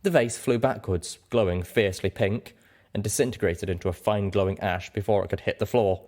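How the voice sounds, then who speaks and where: A man reading fiction aloud as an audiobook narrator, in an animated storytelling style. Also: lowish voice, fairly quick. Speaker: audiobook reader.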